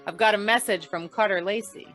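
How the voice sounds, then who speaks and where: A woman playing a deadpan, hard-boiled female investigator in an old-time radio crime noir, with dry, world-weary delivery. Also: mid-range voice, a little fast, faint music behind, close to an everyday voice. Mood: cool, matter-of-fact. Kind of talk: 1940s radio noir narration and dialogue.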